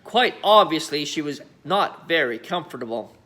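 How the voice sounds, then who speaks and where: A man reading a children's story aloud as storyteller, with dramatic, emphatic phrasing and deliberate pauses. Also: on the high side, lively intonation, sharp tone. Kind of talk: animated children's story narration.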